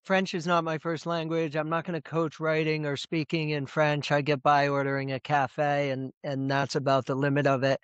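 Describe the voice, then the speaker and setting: A man's voice: a little high, little pitch movement, normal speed, hardly any pauses, clear, resonant tone, no room sound, lo-fi sound. Chatty man on a video call. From United States. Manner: rambling with frequent restarts and repeated words. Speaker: presentation coach, guest. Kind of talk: casual interview talk.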